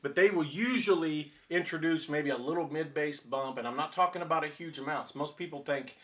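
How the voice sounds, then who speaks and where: A man's voice: fairly high pitch, a little fast, a bit bright. A man explaining technical matters in conversation as a co-host, emphatic and repeating his key points. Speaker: audio reviewer and speaker designer, co-host.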